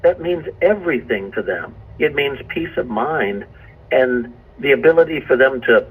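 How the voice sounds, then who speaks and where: A man speaking as a meteorologist in an earnest testimonial, with measured, deliberate phrasing. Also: medium pitch, animated, husky, phone-quality sound.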